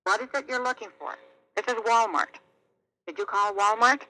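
A woman's voice: fairly high pitch, moderate pace, sharp tone, poor audio. A woman answering a retail store's phone in a brief, businesslike way. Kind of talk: customer service phone call.

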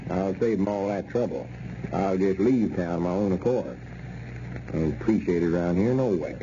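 A man's voice: low voice, moderate pace, lightly acted. An actor playing a proud, scheming old backwoods man with a rural Southern American accent and a folksy, exasperated drawl in an old radio comedy. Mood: dejected, ashamed. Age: older. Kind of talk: 1930s-40s radio comedy acting. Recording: dull, noisy old radio recording.

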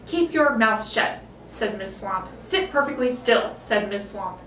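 A woman's voice: mid-range voice, animated, a little slow, a bit bright. A woman in her forties reading a children's picture book aloud expressively. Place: school office indoors. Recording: roomy, distant mic, background noise.

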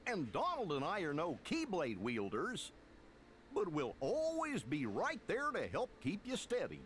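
An actor playing a good-hearted, goofy cartoon dog in a video game, folksy and earnest. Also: male voice, high-pitched, huge pitch swings, unhurried, fairly bright tone, slight background noise, heavily acted. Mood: loyal, encouraging.

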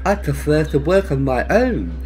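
Self-serious young panther, voiced by a man, storybook character, prim, reserved, slightly raised pitch, noisy background. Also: very animated, normal speed, a little mellow. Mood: politely aloof.

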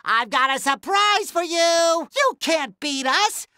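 A man voicing a naive, cheerful young sea sponge hero for a cartoon video game, with a high, squeaky, nasal voice and exuberant, melodramatic exclamations. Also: lively intonation, slow pace, pushed and bright, no echo, studio quality, full character voice. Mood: boastful, determined.

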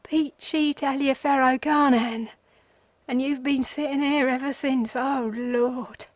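A woman's voice: high-pitched, fairly even intonation, measured pace, dry recording, voice pushed up. A woman reading aloud, voicing a ragged, broken old man who whines and pleads. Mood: pleading. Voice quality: whining, cracked. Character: broken ragged old male wanderer, whimpering. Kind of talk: storybook reading.

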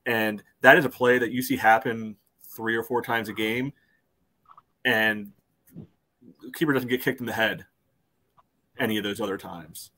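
Low-pitched man talking sports casually. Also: steady tone, moderate pace, pauses often, sharp tone, poor audio. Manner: peppers speech with "you know". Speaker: soccer podcast co-host. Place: indoors on a video call.

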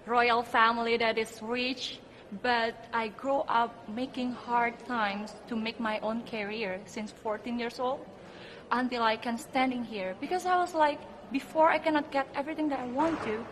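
A young woman with an Indonesian accent speaks in a fairly high voice, answering interview questions earnestly and emphatically. Her English has non-native phrasing. There is clear noise around her. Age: 20s. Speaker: beauty pageant contestant. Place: indoor press backdrop at a pageant event. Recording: clearly noisy room ambience.

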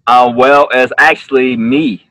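Earnest singer on a video call. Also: male voice, mid-range voice, steady tone, pushed and bright, dry recording. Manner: earnest, halting, many restarts. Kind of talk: casual video call chat. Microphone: webcam on a video call.

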